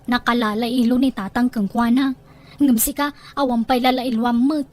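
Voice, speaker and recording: A woman's voice: fairly high pitch, steady tone, moderate pace, a little mellow, close to an everyday voice. A woman with an Ilocano Filipino accent acting an envious, teasing younger woman in a homespun audio drama. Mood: whiny, sulky.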